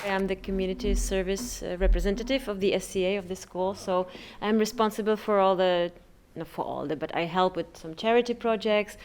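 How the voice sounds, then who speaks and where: Clear-voiced woman with a Czech accent. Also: mid-range voice, fairly quick, few pauses, a little mellow. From Czech Republic. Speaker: parent community service representative. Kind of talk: informal sharing to a group.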